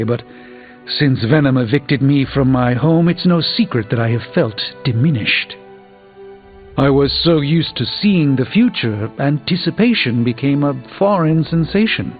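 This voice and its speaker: Man playing proud male sorcerer, formal, measured, wistful, theatrical game dialogue, muffled audio, music behind. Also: medium pitch, natural voice. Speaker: video game voice actor. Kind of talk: dramatic video game dialogue.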